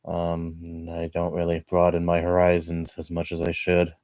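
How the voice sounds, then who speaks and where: Low-voiced young man chatting. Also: normal speed, dry recording, studio quality. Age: 20s. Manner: relaxed, rambling, laughs softly between thoughts. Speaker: livestreamer reading chat. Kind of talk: casual chat. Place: home.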